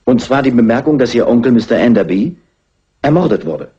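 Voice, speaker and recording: An actor playing a stern, persistent police inspector in a dubbed classic crime film, dryly polite and matter-of-fact. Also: male voice, medium pitch, expressive, brisk, mellow, slightly rough. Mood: stern, probing. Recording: muffled old film soundtrack.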